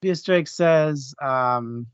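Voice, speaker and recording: A man with a mid-pitched voice talks very slowly and casually as co-host of a book discussion. His sound is close and dry, with a slightly dull, lo-fi edge. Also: clear, resonant tone, no room sound. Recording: dry, muffled online audio.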